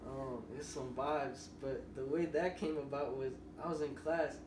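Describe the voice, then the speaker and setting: A man's voice: mid-range voice, fairly even intonation, measured pace. Young man rambling in a roomy room. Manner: loose, rambling storytelling. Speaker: guest musician. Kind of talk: casual podcast interview. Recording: roomy, distant mic with noise.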